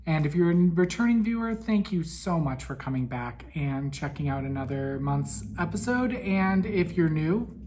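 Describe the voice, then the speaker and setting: Raspy man with a head cold. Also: a little high, lively intonation, moderate pace, few pauses, darkish tone, slight background noise. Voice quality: raspy, weak in breath. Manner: chatty, many ums. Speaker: podcast host. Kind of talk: casual monthly recap chat.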